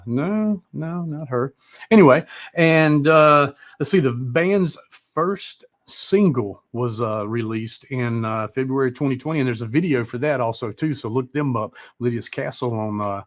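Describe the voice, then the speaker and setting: A man's voice: medium pitch, unhurried. A middle-aged man hosting a live music show in casual, rambling, mildly profane interview chat.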